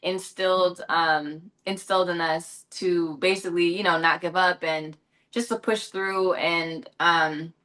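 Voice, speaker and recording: A woman's voice: fairly low pitch, fairly even intonation, a little slow, sharp tone. A young woman from Los Angeles answering interview questions in a chatty way, with many fillers and self-corrections. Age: young adult. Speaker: actress and guest.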